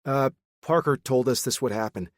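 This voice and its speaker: A man's voice: mid-range voice, moderate pace, no echo, studio quality, pitched above the performer's own voice, lightly acted. A man voicing an earnest, eager male analyst who misses the tension around him.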